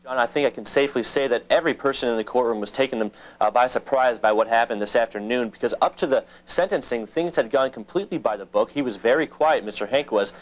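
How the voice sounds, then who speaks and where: An American man reporting television news in a measured, emphatic newscaster delivery. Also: medium pitch, a little hiss or hum. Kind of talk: broadcast news reporting, scripted and live.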